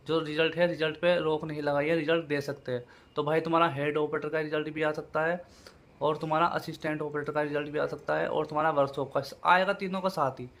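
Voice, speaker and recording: A man's voice: a little high, fairly bright tone. A man giving an informal explainer to viewers as a channel host, repeating his points with familiar check-in tags. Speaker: YouTube host giving job exam updates.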